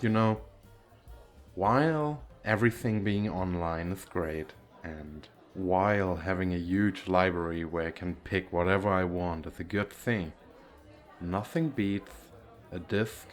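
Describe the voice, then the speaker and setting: A man playing a shy, playful single dad on a speed date in an ASMR roleplay, talking casually with hesitant, self-deprecating, halting phrasing. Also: deep voice. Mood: earnest, chatty.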